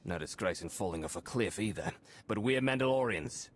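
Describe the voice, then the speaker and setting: A man playing a boisterous veteran warrior with a gravelly, boastful and mocking manner. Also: on the low side, animated, a little fast.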